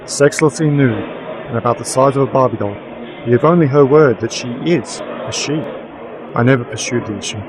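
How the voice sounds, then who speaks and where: A man's voice: mid-range voice, normal speed, crowd noise, natural voice, rough recording. A man playing a weary, sardonic werewolf private investigator, narrating a noir radio drama in a dry, wry manner. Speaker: narrator and lead.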